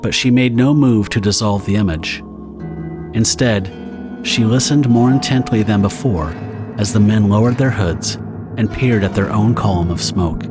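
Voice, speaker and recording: A male narrator delivers a scripted dramatic story in a low voice. Music plays clearly behind him. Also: normal speed.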